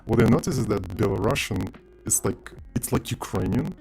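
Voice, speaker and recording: Very gravelly low-voiced man. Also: a little fast, a little mellow, faint music behind. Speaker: streamer and programmer. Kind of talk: casual live-stream chat while coding.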